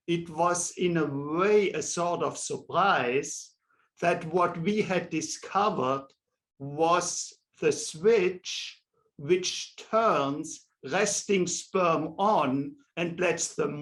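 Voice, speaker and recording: A German-born man with a German accent, a professor in his sixties, answering interview questions slowly and deliberately in an office. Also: a little high, fairly bright tone. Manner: slow, deliberate and measured. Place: indoor office with shelves and pictures.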